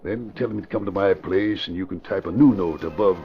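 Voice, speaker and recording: An actor playing an anxious, scheming crime boss in an old radio drama, agitated and halting. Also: male voice, low voice, over loud music. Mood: tense, calculating. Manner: agitated, halting, swinging between alarm and calculation. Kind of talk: 1940s radio acting. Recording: muffled old radio recording with hiss.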